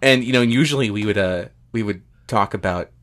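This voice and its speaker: A man co-hosting a comedy podcast in casual chat, with a halting, polite straight-man manner. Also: fairly low pitch, a bit bright. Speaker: podcast co-host.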